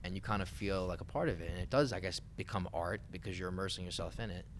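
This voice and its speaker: A man's voice: sits low, quick pace, fairly bright tone. A man talking casually and with opinions as a panelist in an informal podcast discussion. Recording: clear background noise.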